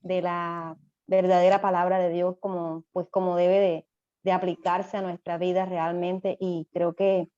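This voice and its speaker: Mellow-voiced woman, earnest. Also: on the low side, little pitch movement, measured pace, dull audio. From Colombia. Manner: earnest, grateful, with fillers. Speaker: student. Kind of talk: self-introduction on a video call. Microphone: video call.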